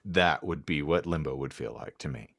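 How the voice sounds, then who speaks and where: A man's voice: very deep voice, lively intonation, normal speed, creaky, fairly bright tone, no room sound, studio quality. A man co-hosting a casual panel podcast, bantering with wry, mock-formal phrasing. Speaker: podcast co-host.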